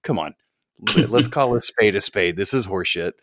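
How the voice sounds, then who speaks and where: Low-voiced opinionated man. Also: lively intonation, fairly quick, fairly bright tone, dry recording, studio quality. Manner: rambling, opinionated, swears freely. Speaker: podcast co-host. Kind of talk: casual chat.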